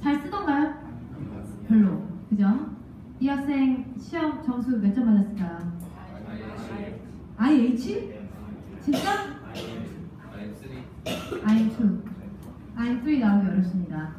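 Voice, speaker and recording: Lively woman lecturer in an echoey classroom. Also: a little high, a little slow, pauses often, noisy background. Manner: lively, asks the class questions, laughs often. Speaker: language test instructor. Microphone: handheld mic, far camera. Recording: echoey classroom from far off, dull.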